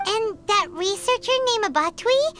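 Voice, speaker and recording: A woman voicing a tiny, childlike fairy companion in a video game, curious and excitable, with a squeaky voice. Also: measured pace, full character voice. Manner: speaks of herself in third person. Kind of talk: video game character dialogue.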